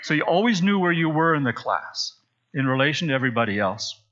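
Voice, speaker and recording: A man's voice: fairly high pitch, very animated, quick pace, fairly bright tone, muffled recording. A man from Zambia preaching a sermon in a church, chatty and storytelling, with frequent asides. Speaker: pastor. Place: church sanctuary.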